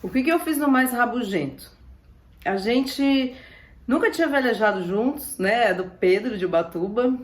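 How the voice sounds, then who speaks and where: A woman's voice: fairly high pitch, animated, normal speed. A woman from Ilhabela, chatting casually to camera about sailing while losing her voice and coughing. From Ilhabela, Brazil. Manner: chatty and upbeat, with frequent laughs and asides. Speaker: sailing vlogger.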